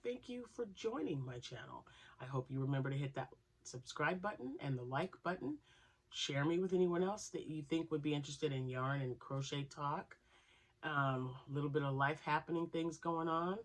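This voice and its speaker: A woman's voice: deep voice, moderate pace, rough recording. Chatty woman with a nasal cold. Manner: chatty, rambling, with laughs and asides. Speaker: crochet channel host. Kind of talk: casual chat to camera.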